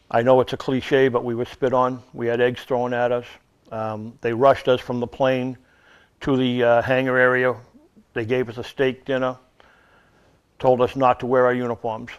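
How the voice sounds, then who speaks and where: A man's voice: mid-range voice, clean tone, dull audio. An older man from Massachusetts, a veterans group representative, speaking earnestly as an interview guest. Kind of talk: interview guest recounting memories.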